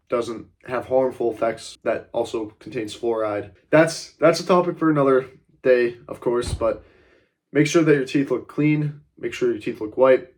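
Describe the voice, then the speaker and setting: A man's voice: medium pitch, darkish tone. A young man who is a little congested, giving casual self-improvement advice in a rambling way, filling his talk with "like" and "uh" and speaking bluntly with the odd swear word. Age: young adult. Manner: rambling, frequent fillers like "like" and "uh".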